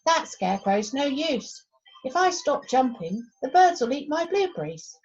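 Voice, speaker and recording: Woman as a sassy, high-voiced young girl. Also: very animated, unhurried, darkish tone, highs cut off, heavily acted. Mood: bossy, busy. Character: brisk, sassy young girl, blunt and clever.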